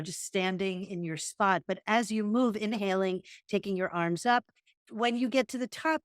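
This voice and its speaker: A woman's voice: medium pitch, no echo, studio quality. A woman co-hosting a casual podcast, musing in a meandering way and guiding yoga movements. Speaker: podcast co-host, yoga teacher. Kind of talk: casual reflective conversation.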